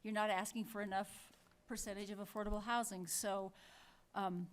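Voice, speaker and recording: A woman's voice: mid-range voice, fairly even intonation, moderate pace. A woman chairing a town council meeting, speaking plainly and thinking aloud with frequent restarts.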